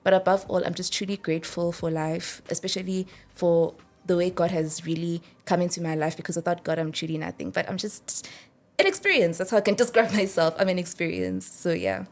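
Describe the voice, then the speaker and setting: A woman's voice: fairly low pitch, fairly quick, hardly any pauses, a little mellow. A woman, reflecting casually on herself as an interview guest. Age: early 20s. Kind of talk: casual chat, personal reflection.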